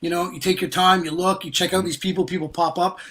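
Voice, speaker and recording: A male podcast host with a notably high voice and a bright, forceful tone. He chats casually and informally, leaning on slang and repeating himself as he goes. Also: level tone, quick pace. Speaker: podcast host interviewing a music artist. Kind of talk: casual, chatty podcast hosting.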